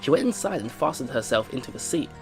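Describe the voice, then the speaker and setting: A man's voice: mid-range voice, sing-song, quick pace, a little mellow, rough recording. A man hosting a let's play, reading visual novel narration aloud and adding informal, exclaiming reactions. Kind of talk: reading game narration aloud with casual commentary. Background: game music.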